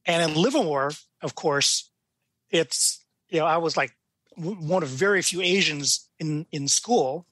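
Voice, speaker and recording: American male, 60s, judge as podcast guest, lighter higher pitch, casual storyteller, dry recording. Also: unhurried, a bit bright, dry recording. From California, United States.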